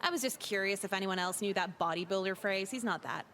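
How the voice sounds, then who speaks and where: A woman voicing a jaded, sarcastic teenage girl in a visual novel game, deadpan and caustic. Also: medium pitch, quick pace, lightly acted. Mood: weary, wry. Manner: deadpan, caustic, foul-mouthed.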